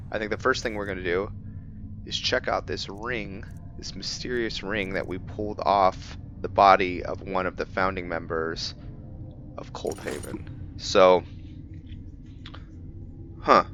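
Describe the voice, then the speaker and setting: Man, gaming commentary, relaxed musing, unhurried delivery, slightly muffled mic. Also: low-pitched, a little hiss or hum. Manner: relaxed, musing aloud with fillers. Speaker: gaming video host. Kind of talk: casual game commentary.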